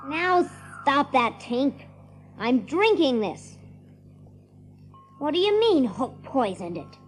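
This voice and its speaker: A cocky young boy hero, high-pitched and very animated. He is earnest and stubborn, taking his time over his words, with music underneath in a storybook drama. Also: slow, full character voice. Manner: earnest, headstrong, boyish. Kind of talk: audiobook drama acting.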